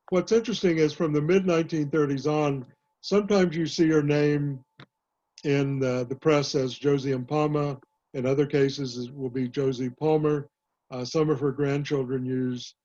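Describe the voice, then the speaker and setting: An older man, a historian and author, answering questions in a panel talk, measured and full of pauses. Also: a little high, fairly even intonation, warm tone, lo-fi sound. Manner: measured, many "uh" pauses and restarts. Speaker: author and historian, guest speaker.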